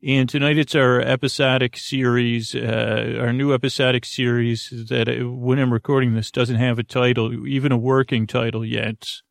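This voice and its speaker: A man with a creaky voice hosting a sleep podcast in a meandering, halting, goofy and self-deprecating monologue. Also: mid-range voice, little pitch movement, dry recording, studio quality. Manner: meandering, halting, trailing off into tangents. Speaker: podcast host.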